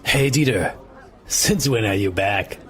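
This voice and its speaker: An actor playing a casual, inquisitive, slightly anxious drinking companion in an audio drama. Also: male voice, on the low side, animated, measured pace, fairly bright tone. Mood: curious, uneasy. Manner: casual, questioning. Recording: crowded room noise behind.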